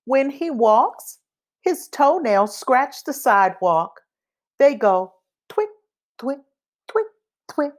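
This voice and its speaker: A woman reading a children's picture book aloud in a deliberate, expressive manner. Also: high-pitched. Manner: deliberate, expressive, acts out sound effects. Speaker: read-aloud reader.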